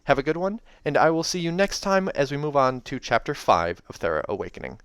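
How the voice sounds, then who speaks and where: A man hosting a read-aloud of a novel, narrating in an expressive, dramatic style. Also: fairly high pitch, fairly quick, clean tone. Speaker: host reading a novel aloud. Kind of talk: dramatic audiobook narration with casual asides. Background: soundtrack music.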